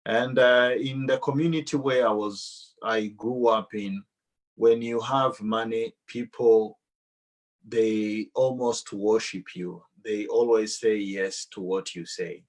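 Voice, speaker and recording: A man with a Rwandan accent shares a personal testimony to a church audience, speaking deliberately and halting with long pauses. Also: fairly low pitch, fairly even intonation, poor audio.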